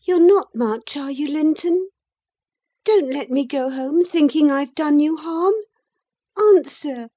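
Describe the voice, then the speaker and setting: A woman's voice: high-pitched, takes it slowly, warm tone, highs cut off, pitched above the performer's own voice. A woman voicing an impetuous, hot-tempered but tender-hearted young girl, with an emotional delivery, in a storybook reading. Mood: remorseful, pleading. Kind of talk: dramatised classic novel reading.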